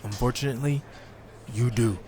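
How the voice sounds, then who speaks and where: A man's voice: medium pitch, moderate pace, mellow, natural voice. A man playing a confident, dryly teasing indie wrestler turned new recruit in a video game cutscene. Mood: cocky.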